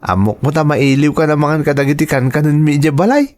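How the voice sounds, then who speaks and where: A man acts a friendly young student in an Ilocano audio drama. The voice sits high and carries an Ilocano Filipino accent. The character is caring and comes from a humble home. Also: fairly even intonation, fast, darkish tone, lightly acted. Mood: friendly, playful.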